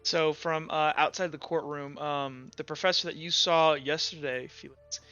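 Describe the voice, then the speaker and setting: A man with a fairly high, bright voice acts as game master. His narration is casual and halting, improvised as he goes, over faint music. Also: fairly even intonation, smooth, highs cut off. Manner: halting, informal.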